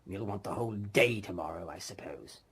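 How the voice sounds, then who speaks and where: Man playing a gruff, grudging old miser. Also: low-pitched, brisk, fairly bright tone, pitched below the performer's own voice, full character voice. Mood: grumbling, grudging. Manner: gruff, sour grumbling. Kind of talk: audiobook character voice.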